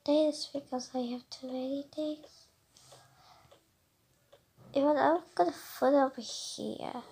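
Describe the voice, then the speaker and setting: High-pitched, slow, giggly young girl. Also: steady tone, vocal fry, warm tone. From Indonesia. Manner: chatty, giggly, self-talking. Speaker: game player. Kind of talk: casual gameplay narration.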